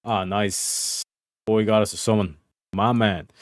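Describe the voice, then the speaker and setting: Slow-talking profane male streamer. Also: on the low side, fairly even intonation, dry recording, clean studio sound. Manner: profane, joking, talks to chat while playing. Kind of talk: casual gaming livestream chat.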